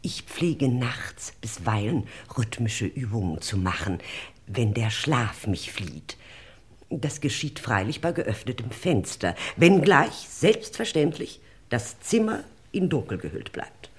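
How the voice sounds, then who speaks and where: A woman's voice: a bass voice, very animated, takes it slowly, slightly rough, heavily acted. A woman playing a fussy, self-righteous elderly lady in a radio crime play, stilted, dramatic and long-winded. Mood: self-important, rambling. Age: older. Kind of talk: 1950s-80s German radio crime play acting.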